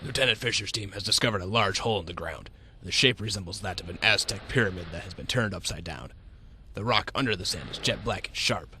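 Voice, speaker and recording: A man's voice: deep voice, lively intonation, quick pace, sharp tone. A man reading scripted narration for an amateur radio war drama. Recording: noisy amateur recording.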